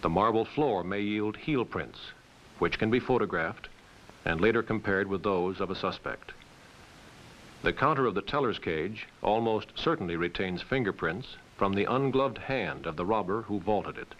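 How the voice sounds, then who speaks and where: A man reading scripted documentary narration in a measured, authoritative way. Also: fairly low pitch, lively intonation, fairly bright tone. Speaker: training film narrator. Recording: muffled hissy old film soundtrack.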